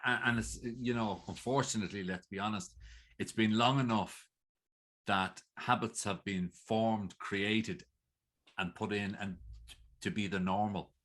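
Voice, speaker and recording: A man's voice: fairly low pitch, fairly even intonation, unhurried, fairly bright tone. A man with an Irish accent hosting an interview, asking long, rambling questions in a chatty way. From Ireland. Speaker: interview host. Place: video call.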